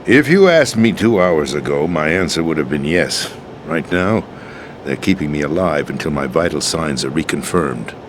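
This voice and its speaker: An actor playing a disillusioned, world-weary middle-aged American president in a dramatic video game scene, with an emphatic theatrical delivery. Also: male voice, rumbling low, lively intonation, moderate pace, background noise. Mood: bitter, intense. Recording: game soundtrack music underneath.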